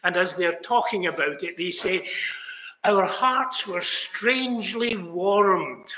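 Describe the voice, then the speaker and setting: A man's voice: high voice, expressive, takes it slowly, bright, forceful tone. Older man preaching earnestly, echoey chapel. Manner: earnest, rising to exclamations. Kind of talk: sermon. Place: chapel hall, indoors. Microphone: fixed camera, seated mid shot.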